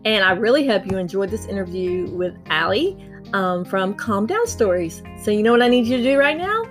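Woman podcast host, upbeat, chatty, soft guitar music behind, mid pitch. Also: sing-song, a little fast. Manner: upbeat, chatty, addresses listeners as y'all. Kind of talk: podcast intro and interview hosting.

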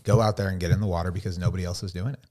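A man's voice: low voice, steady tone, very fast, darkish tone, faint music behind. A man in his late thirties chatting casually on a podcast, upbeat and emphatic. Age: late 30s. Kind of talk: casual chat.

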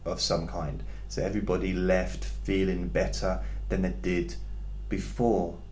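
Calm deep-voiced man, echoey room. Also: measured pace. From England. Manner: calm, rambling, self-deprecating, many restarts. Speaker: hypnotherapist podcast host. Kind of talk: casual chat to listeners. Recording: echoey room with faint background noise.

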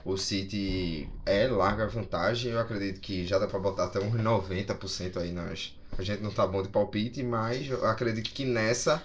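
A man's voice: lowish voice, fairly even intonation, normal speed, hardly any pauses, dull audio, slight background noise. A man with a Brazilian accent hosting a football podcast in a casual, conversational way.

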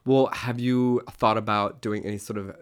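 A man in his thirties from Oklahoma, co-hosting a casual chat podcast, sassy and animated. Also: fairly low pitch, smooth. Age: 30s. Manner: animated, sassy, quick asides. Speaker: podcast co-host.

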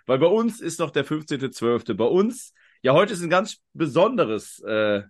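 A man's voice: on the high side, sing-song, measured pace. A man hosting a quiz podcast in a casual, talkative and rambling way. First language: German.

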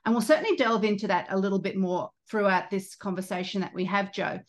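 A woman hosting a podcast in a conversational interview style, with halting, self-interrupting phrasing. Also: mid-range voice. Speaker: podcast host.

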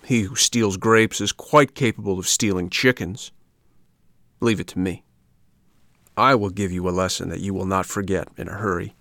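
A man's voice: deep voice, fairly even intonation, moderate pace, a bit bright, dry recording. A man voicing an angry storybook peasant farmer, stern and commanding, with a threatening way of talking. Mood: angry accusation.

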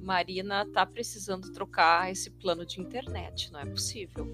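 A woman co-hosts a podcast in relaxed, easygoing chat. She keeps her talk casual and informal. Faint music sits quietly in the background. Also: medium pitch, a little slow. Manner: relaxed, laughs often. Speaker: podcast co-host.

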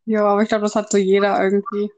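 A woman's voice: medium pitch, monotone, quick pace, darkish tone, muffled recording. A woman in her early twenties in a casual, unscripted podcast chat, speaking in a slightly tentative way. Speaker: podcast co-host.

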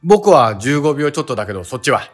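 A man's voice: medium pitch, very animated, quick pace, soft background music. A man playing an earnest, panicky young sightseer in a comedic radio drama, speaking in wordy, melodramatic lines. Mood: uneasy, matter-of-fact.

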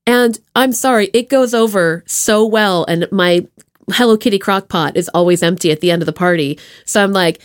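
A woman's voice: mid-range voice, moderate pace. A woman hosting a podcast in casual conversation, playful and self-deprecating. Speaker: podcast host. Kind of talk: casual interview chat.

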